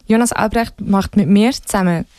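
A woman with a Swiss German accent talks casually about music as a radio guest. Her voice is dark and relaxed, recorded dry. She explains things informally, pausing and searching as she goes. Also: mid-range voice, no room sound.

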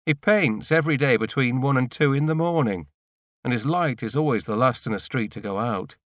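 A man reading a first-person literary audiobook in a measured way, in short deliberate phrases. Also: medium pitch, no room sound, studio quality. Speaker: audiobook narrator.

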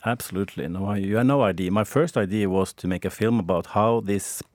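Halting Norwegian man, low voice. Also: dry recording, studio quality. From Norway. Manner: halting, repeats words, laughs softly. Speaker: documentary filmmaker. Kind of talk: casual interview.

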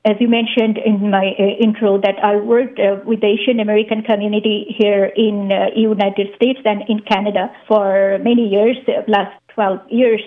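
A woman's voice: medium pitch, flat delivery, normal speed, few pauses. A woman from Bangladesh, a guest speaking carefully with many hesitations over the phone. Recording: phone line.

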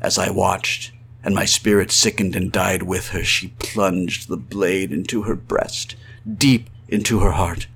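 A man's voice: low-pitched, fairly even intonation, a little slow, gravelly, slightly rough, full character voice. A male actor playing a tormented spirit trapped outside his body, in a florid, old-fashioned melodramatic horror monologue. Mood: haunted, grave. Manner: theatrical, florid, swelling from hushed to frantic cries.

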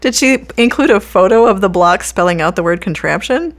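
A woman's voice: mid-range voice, expressive, fairly quick. A woman hosting a conversational podcast interview. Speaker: podcast host, librarian.

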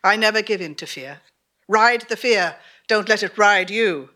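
A woman playing the ghost of a haughty, brisk Irish revolutionary countess, with old-fashioned upper-class phrasing in a theatrical stage performance. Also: mid-range voice, pushed and bright. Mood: fearless, commanding. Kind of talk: theatrical dramatic monologue performance.

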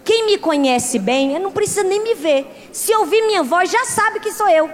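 A woman in her thirties preaching a sermon with a strong regional accent, moving between soft, close passages and loud, emphatic peaks. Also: very high voice, expressive, normal speed. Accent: Portuguese-speaking, with a strong regional accent. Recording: long hall reverb.

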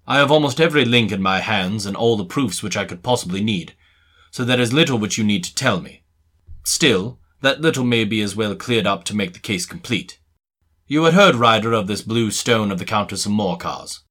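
A man playing a shrewd, courteous but commanding gentleman detective in a dramatised Victorian story, speaking precisely and formally with quiet mockery. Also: on the low side, moderate pace. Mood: stern, accusing.

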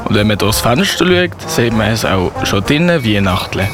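A man's voice: on the low side, very animated, normal speed, over loud music. A man with a Swiss German accent narrating a Christmas radio play as storyteller.

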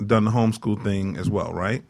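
A man's voice: fairly low pitch, steady tone, a little fast, gravelly, a little mellow. A man hosting a casual radio interview, asking questions in a conversational way. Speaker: talk show host.

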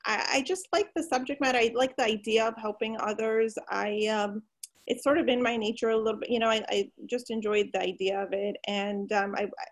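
A woman physician who grew up in Pakistan and the United States, answering interview questions with many pauses and restarts. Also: fairly high pitch, fairly even intonation. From Pakistan, raised in the United States. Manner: hesitant, many fillers and restarts, warms up when enthused. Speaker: physician guest.

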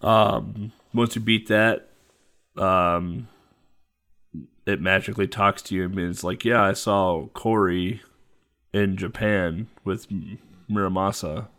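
Low-voiced man chatting over a game. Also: steady tone, slow pace, fairly bright tone. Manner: rambling, laughs often, many fillers. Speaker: game commentator. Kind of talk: casual retro game playthrough chat.

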